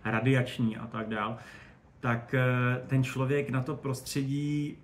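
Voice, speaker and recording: A man's voice: medium pitch, flat delivery. Man explaining, in an echoey room. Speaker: space expert guest. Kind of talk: casual interview talk. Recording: roomy echo, faint background noise.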